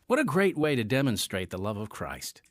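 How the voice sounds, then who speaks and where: A man's voice: mid-range voice, very animated, fairly quick, dry recording, studio quality. A man hosting a radio program, speaking in a scripted interview style. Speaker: program host.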